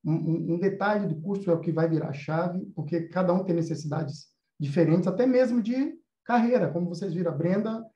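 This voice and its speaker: Higher-voiced Brazilian man, mellow, roomy sound. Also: fairly quick, hardly any pauses. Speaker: host, animation course teacher. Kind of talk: casual explanatory talk. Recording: roomy, slightly dull sound.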